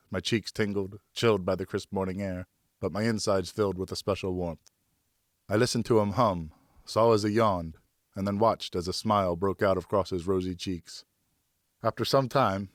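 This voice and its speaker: A male audiobook narrator with a fairly deep voice. He tells a historical story in the first person, expressively and deliberately, in a very dry recording. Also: no echo. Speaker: audiobook narrator, professional voice actor.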